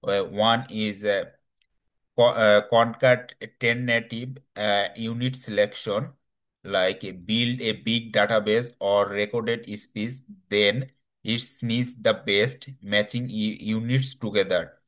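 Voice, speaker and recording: Slow, halting male presenter. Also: on the low side, fairly even intonation, fairly bright tone. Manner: halting, hesitant delivery with non-native English phrasing. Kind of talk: slide presentation on a technical subject.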